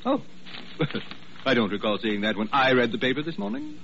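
An actor playing a fussy, anxious hospital administrator doctor in old radio drama, deferential and emphatic. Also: male voice, medium pitch, wildly swooping pitch, normal speed, vocal fry, a bit bright, exaggerated voice. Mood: obsequious. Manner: fussy, fretful, emphatic repetition. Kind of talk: 1940s radio drama acting. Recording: muffled old radio recording, faint noise.

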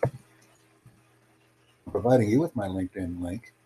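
A man hosting a livestream podcast, addressing callers in a deliberate, instructive way. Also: deep voice, mellow. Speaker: podcast host. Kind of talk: livestream chat with online callers. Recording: clearly noisy, humming background.

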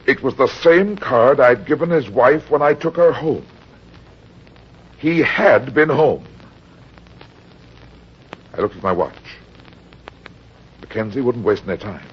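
Dull old radio recording, shrewd heavyset private eye, American, hard-boiled, husky, animated pitch. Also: male voice, slow pace, pauses often. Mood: narrating tersely. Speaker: actor playing detective and narrator. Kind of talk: old-time radio detective drama acting.

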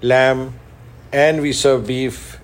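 A man's voice: medium pitch, flat delivery, drawn-out words, a little hiss or hum. A middle-aged man from Pakistan and India, a chef and restaurant owner being interviewed, who talks with enthusiasm and repeats words as he lists things. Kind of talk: interview guest.

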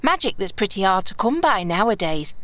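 A blunt, brash young-at-heart thief of noble forest birth in a fantasy audio drama. She talks with wry sarcasm and colorful old-world slang at a middling pitch. The sound is close and dry. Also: big pitch swings, brisk, pushed and bright, no room sound. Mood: intrigued. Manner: wry, sarcastic, colloquial with archaic turns.

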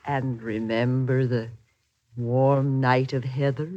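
An actress playing an affectionate, wistful colonial country wife in old-time radio drama, with quaint, theatrical phrasing. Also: female voice, a bass voice, little pitch movement, slow pace, a little mellow, dull audio. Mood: tender, coaxing. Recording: vintage radio drama recording.

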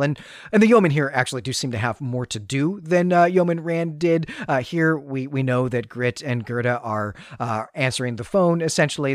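A man's voice: mid-range voice, dry recording, clean studio sound. A man hosting a literary discussion podcast, talking in an analytical, enthusiastic way and often repeating words mid-sentence. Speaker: podcast host.

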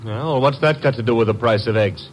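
An actor playing a detective's breezy, wisecracking young assistant in a 1940s radio drama. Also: male voice, medium pitch, fairly quick, close to an everyday voice. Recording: noisy old radio transcription.

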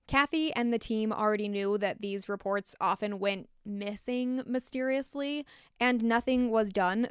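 An American woman narrates a true story for her podcast, breaking in with frank, opinionated asides. Her voice sits a little high and sounds smooth and clear. The recording is dry and close, with no room sound. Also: dry recording. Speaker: podcast host.

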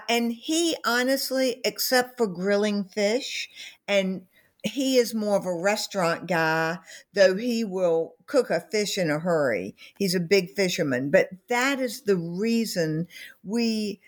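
An older woman with a Southern US accent, a founder interviewed about her book, speaking in a measured way with many pauses and fillers. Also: mid-range voice. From North Carolina. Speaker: author and company founder. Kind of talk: interview conversation.

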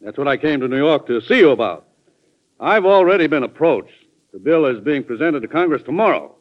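An actor playing a formal, self-assured opposition senator in an old-time radio drama. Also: male voice, fairly high pitch, lively intonation, brisk, close to an everyday voice. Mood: businesslike. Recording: muffled old radio broadcast recording.

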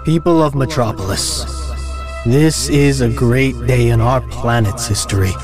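A man's voice: medium pitch, a little slow, music in the background, lightly acted. An actor playing a scheming billionaire villain with a self-assured, showman-like way of speechmaking in an audio drama. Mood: grandiose. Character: smooth scheming billionaire villain addressing a crowd.

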